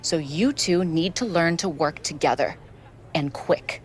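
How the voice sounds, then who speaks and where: Casting: scheming female wrestling manager in a game, low voice, measured and exacting, faint background noise. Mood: cool, calculating. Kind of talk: video game cutscene acting.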